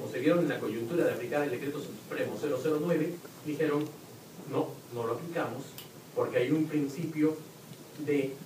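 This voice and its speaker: A man with a Peruvian accent speaking on a university panel, explaining points with examples and occasional restarts. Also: mid-range voice. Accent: Peruvian Spanish. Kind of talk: academic panel talk. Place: lecture hall at a university event. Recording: roomy, distant hall recording with noise.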